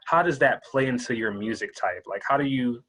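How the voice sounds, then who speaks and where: A man hosts an interview show with an upbeat, loud opening, then settles into easy, conversational questions. His voice sits at an ordinary male pitch. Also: brisk, husky. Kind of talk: hosting an interview.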